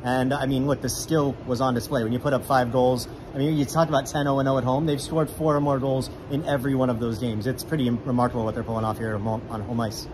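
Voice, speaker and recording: Fast-talking, enthusiastic sports reporter man. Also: medium pitch, level tone, background noise. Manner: quick, enthusiastic, many "I mean" fillers. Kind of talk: casual postgame analysis. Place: indoor hockey arena beside the rink. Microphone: phone camera at arm's length.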